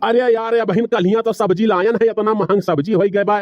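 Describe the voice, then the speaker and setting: A man's voice: high voice, fairly even intonation, brisk, no echo, dull audio, natural voice. A man voicing an argumentative, excuse-making village husband with an Awadhi accent in a cartoon comedy. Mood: defensive. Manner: rambling, bantering, colloquial.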